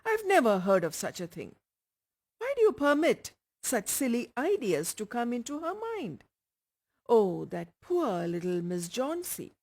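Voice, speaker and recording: A woman voicing an indignant, soft-hearted old painter in a storybook, shouting and exclaiming. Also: fairly high pitch, big pitch swings, measured pace, voice pushed up, full character voice.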